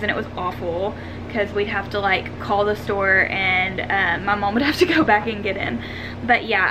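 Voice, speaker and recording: A woman's voice: mid-range voice, noisy background. A woman, chatting casually and self-deprecatingly as she answers questions in a vlog at home. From Arkansas, United States. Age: 20s. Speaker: vlogger. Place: room at home.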